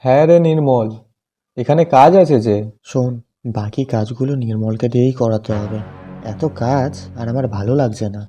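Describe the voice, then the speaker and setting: A man's voice: medium pitch, moderate pace, mellow, soft background music, lightly acted. A man acting as a village headman's grown son in a cartoon folk tale. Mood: scheming. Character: village headman's sons, from scheming eldest to honest youngest.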